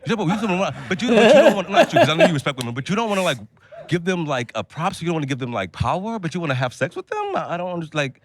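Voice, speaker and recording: A man arguing in a group debate, animated and exasperated. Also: high voice, rapid-fire, a little hiss or hum.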